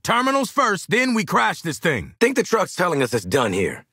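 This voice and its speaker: A male actor playing a sardonic, confident mercenary marksman in a video game, with an American accent, husky voice and blunt, commanding manner. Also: high-pitched, huge pitch swings, moderate pace, pushed and bright, no echo, studio quality, exaggerated voice. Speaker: squad fighter. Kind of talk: video game combat voice lines.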